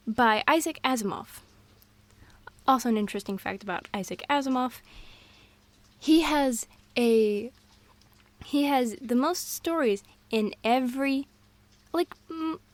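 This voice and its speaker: A woman in an intimate girlfriend roleplay, rambling through fun facts in a scatterbrained, excitable way. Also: fairly high pitch, dry recording. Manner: scatterbrained, excitable, jumps between topics. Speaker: audio roleplay performer.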